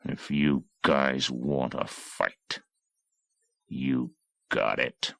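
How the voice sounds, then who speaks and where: An embittered commando veteran voiced by a male audiobook reader speaks in a low voice. He is brooding and defiant, placing his words deliberately as a man bent on vengeance. The sound is close and dry. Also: fairly even intonation, creaky, sharp tone, dry recording, exaggerated voice. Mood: grim menace. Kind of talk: dramatic audiobook reading.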